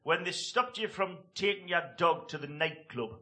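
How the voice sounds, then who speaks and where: A man's voice: sits high, moderate pace, sharp tone. A man doing stand-up in a comedy club, ranting and stammering his way through. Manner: stammering, rambling, gruff rant. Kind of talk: stand-up routine.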